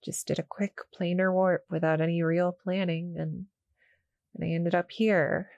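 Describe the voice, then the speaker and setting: A woman playing a shy, gentle succubus cuddle monster in an intimate roleplay audio, speaking hesitantly, softly and politely to the listener. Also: on the low side, no room sound. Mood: hesitant, explaining. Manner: hesitant, soft-spoken, polite, apologetic.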